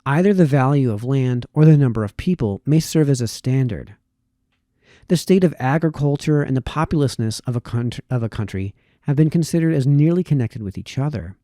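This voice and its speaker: A man reading formal historical prose aloud in a measured, deliberate way, with occasional stumbles over words. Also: medium pitch, expressive, dark tone, dry recording, clean studio sound. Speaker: reader, host of a reading series.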